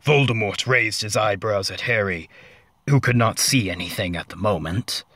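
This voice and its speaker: A man narrating a comic story as a dramatic reading, deliberate and mock-serious, with dramatic pauses. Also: on the low side, pushed and bright, no echo.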